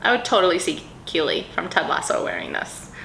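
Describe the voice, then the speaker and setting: A woman's voice: on the low side, huge pitch swings, a little fast, vocal fry, fairly bright tone. A woman in casual vlog chat, bubbly and rambling, describing scents in a dreamy way with lots of fillers. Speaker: perfume vlogger. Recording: echoey room with faint ambient noise.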